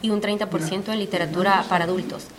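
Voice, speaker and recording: A woman's voice: medium pitch, fairly even intonation, fast, background noise. A woman from Honduras, a publisher, explaining her work earnestly and steadily in an interview at a book fair. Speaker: publisher, guest. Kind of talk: conversational interview. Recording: busy fair crowd behind. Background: crowd chatter.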